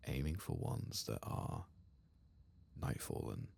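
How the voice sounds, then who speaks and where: A man softly murmuring and half-whispering gameplay commentary in a husky, breathy voice, like an ASMR host. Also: extremely low pitch, sing-song, takes it slowly.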